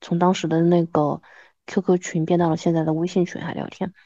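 A woman's voice: fairly low pitch, level tone, fairly quick, warm tone, dry recording, muffled recording. A woman in her thirties chatting casually as a podcast host, self-deprecating and often repeating her words for emphasis. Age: 30s. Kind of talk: casual chat between old friends.

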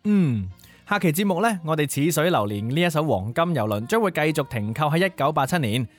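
A man's voice: on the high side, sing-song, brisk. A man co-hosting a music nostalgia programme in a scripted narration style. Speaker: co-host of a Cantopop nostalgia show.

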